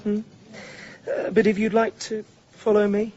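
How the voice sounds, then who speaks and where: An actor playing an earnest, mildly sardonic middle-aged English shelter worker, hesitant and dry, in a radio comedy-drama. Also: male voice, high voice, flat delivery, a little slow, darkish tone, muffled recording, a little hiss or hum. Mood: wary, dry.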